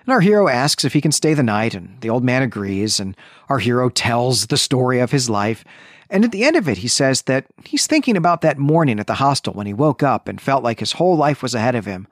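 A man's voice: mid-range voice, sing-song, fairly quick, no echo, clean studio sound. A man hosting a podcast, recounting and discussing a story in a conversational way. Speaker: podcast host. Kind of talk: animated story recap and literary discussion.

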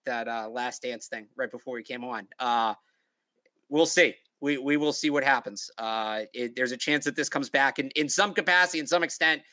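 Bright-voiced young man, casual podcast talk. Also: mid-range voice, no echo. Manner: halting, filler-heavy, repeats words. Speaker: podcast co-host.

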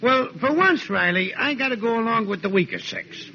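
A smug American buddy who fancies himself an expert. The voice is high, raspy and leaps around, in the broad style of forties radio comedy, on a muffled old recording. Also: male voice, animated, normal speed, bright, forceful tone, full character voice. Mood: smugly advising. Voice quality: gravelly, raspy. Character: cocky know-it-all neighbor pal, self-appointed psychologist.

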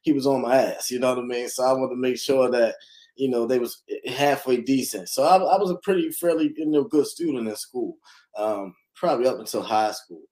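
Relaxed man telling his story. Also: mid-range voice, a little fast. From North Philadelphia, USA. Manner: relaxed, rambling, lots of fillers. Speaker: guest, real estate investor and lender. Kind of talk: casual interview storytelling.

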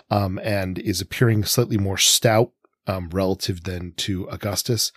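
A man's voice: on the low side, no room sound, studio quality. A man co-hosting a podcast, offering analytical commentary as he thinks aloud, often qualifying his points. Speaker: podcast co-host.

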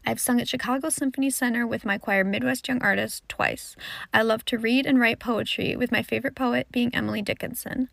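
Creaky, high-voiced teenage girl poet. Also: a bit bright, no room sound. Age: teenager, fourteen. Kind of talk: introducing and reciting own poem.

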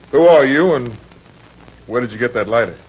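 A man's voice: mid-range voice, breathy edge. An actor playing an edgy, bewildered young man, defensive and questioning, in an old-time radio drama. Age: 20s. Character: edgy, bewildered young husband suspected of murder. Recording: dull old radio recording.

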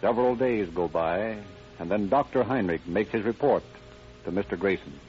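An actor playing the solemn, deliberate narrator of an old-time radio crime drama. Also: male voice, on the low side, natural voice. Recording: dull vintage radio recording. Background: music.

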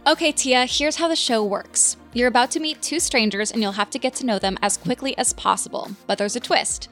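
A woman's voice: a little high, a little fast, fairly bright tone. A woman hosting a dating podcast, upbeat and playful, with faint music behind. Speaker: host.

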